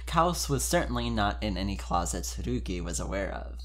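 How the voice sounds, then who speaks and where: A man's voice: fairly low pitch, very animated, normal speed. A man speaking informally and expressively, as a podcast member giving an amused dramatic reading of fan fiction.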